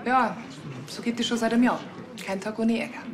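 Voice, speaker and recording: An actress playing an exasperated village pub landlady with brisk, colloquial Bavarian speech. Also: on the high side, fairly even intonation, crowd noise, lightly acted.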